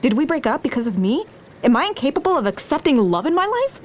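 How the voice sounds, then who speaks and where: A woman's voice: high voice, very animated, fast, a little hiss or hum. An actress playing a sensible, self-doubting young woman in a romcom audio drama, earnest and questioning. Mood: anxious, self-doubting. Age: young adult. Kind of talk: romcom podcast drama acting.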